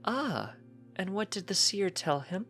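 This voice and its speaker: A narrator voicing a gentle, gracious grown pony princess with formal, encouraging, measured speech in a storybook reading. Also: medium pitch, sing-song, soft background music, voice pushed up.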